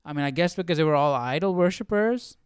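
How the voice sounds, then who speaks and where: A man's voice: on the high side, lively intonation, quick pace, clean tone, no echo, clean studio sound. A man hosting a Bible study with casual, reflective commentary, questioning and thinking aloud.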